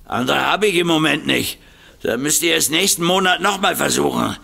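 An actor playing a gruff, dismissive older estate agent boss in a comedic audio drama, gravelly and drawling. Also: male voice, fairly high pitch, normal speed, sharp tone, heavily acted. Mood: curt, dismissive. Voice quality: gravelly, creaky.

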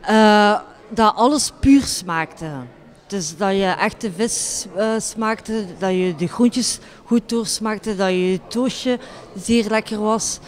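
A woman's voice: medium pitch, takes it slowly. A woman in her thirties with a Flemish accent, a restaurant worker giving simple spontaneous answers in an interview. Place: indoors in a panelled room. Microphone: handheld reporter microphone.

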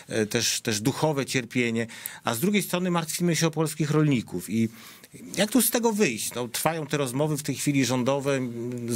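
Man, talk show host, measured, questioning, mid pitch. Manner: measured, poses questions to guests. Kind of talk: studio political discussion.

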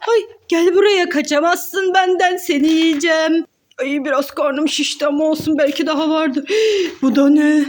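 Children's toy skit: female performer as excitable glutton, extremely high voice, exclamatory and melodramatic. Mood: greedy delight. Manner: exclaiming, gasping, melodramatic, talks to itself. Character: gluttonous excitable toy character sniffing out hamburgers, scheming cook.

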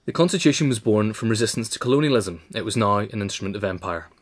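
Man narrating an essay, lowish voice. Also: animated, fast. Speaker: narrator, reader. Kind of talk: scripted narration of a biographical essay.

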